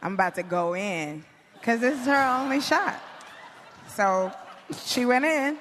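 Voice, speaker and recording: A woman's voice: fairly high pitch, animated, a little slow, a bit bright. A woman comedian on a panel giving casual comic commentary in a playful, slangy way. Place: indoor stage before a live audience. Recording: echoey hall with background noise.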